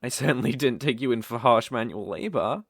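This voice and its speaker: A British man voicing a possessive, smug dark elf master in an intimate ASMR roleplay, teasing and condescending as he coaxes. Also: medium pitch, expressive, fairly quick, dry recording, clean studio sound. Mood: soft, coaxing. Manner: teasing, condescending, coaxing, with soft chuckles.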